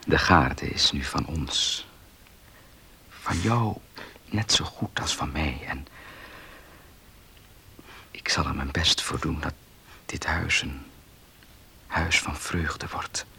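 Very deep, breathy, tender man. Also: exaggerated voice. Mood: grateful, earnest. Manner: hushed, halting, earnest. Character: weary, tender male heir, grateful and earnest. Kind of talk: Dutch radio play acting.

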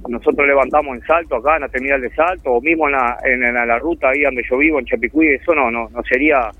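A man's voice: mid-range voice, steady tone, fast talker. A man with a Uruguayan accent talking earnestly and at length as a guest calling in to a radio interview. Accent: Uruguayan Spanish. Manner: earnest, heartfelt, rambling. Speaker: phone-in guest, charity volunteer. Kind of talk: casual radio interview over the phone. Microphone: telephone. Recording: tinny dry phone line.

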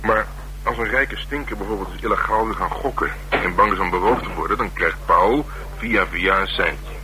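An actor playing a streetwise, sardonic middle-aged police detective in a Dutch radio drama, talking bluntly and colloquially with dry teasing. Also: male voice, on the low side, moderate pace, pushed and bright. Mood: matter-of-fact. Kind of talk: naturalistic radio drama dialogue. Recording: humming, noisy old radio broadcast.

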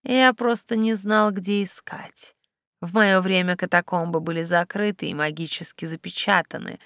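A woman voicing a quiet, eerie old male ghost priest with a hissing, whispery voice in an audiobook. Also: fairly low pitch, steady tone, normal speed, dry recording, clean studio sound. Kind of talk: audiobook character acting.

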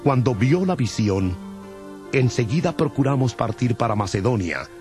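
A man delivers scripted dramatic scripture narration. His voice is mid-pitched, with music playing behind him. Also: a little mellow, breathy edge, dry recording.

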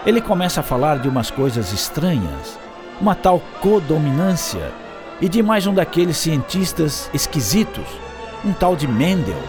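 A man narrating a scripted radio drama as a wry, animated storyteller. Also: fairly high pitch, moderate pace, over music.

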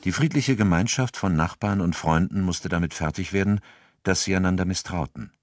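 An actor as the sober narrator of a crime radio drama, reading scripted literary prose. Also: male voice, low-pitched, brisk, no room sound, studio quality, natural voice. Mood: sober, factual. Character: sober male omniscient narrator of a crime story.

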